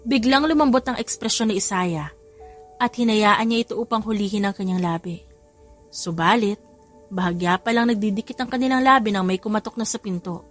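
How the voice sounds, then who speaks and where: A woman's voice: mid-range voice, brisk. Filipino woman narrating over music. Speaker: audiobook narrator. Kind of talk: scripted romance story narration. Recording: music behind the voice. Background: background music.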